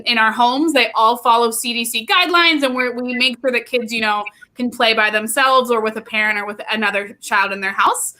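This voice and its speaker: A woman's voice: on the high side, sharp tone. An upbeat, enthusiastic American woman in her thirties explaining her work as an interview guest. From Pennsylvania, United States. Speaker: guest, youth play nonprofit training manager.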